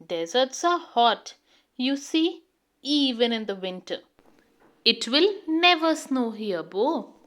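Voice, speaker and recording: A small, sceptical boy detective from a storybook, read by a woman, high in pitch. He is emphatic and argumentative, with lively intonation and a stubborn know-it-all streak. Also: slow, exaggerated voice. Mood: huffy, certain. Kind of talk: children's storybook character reading.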